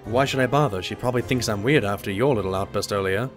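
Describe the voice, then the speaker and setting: A man's voice: lowish voice, fast talker, soft background music, natural voice. A man playing a polite but skeptical pony doctor, a detective's sidekick, in an audio drama. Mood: reluctant, wry.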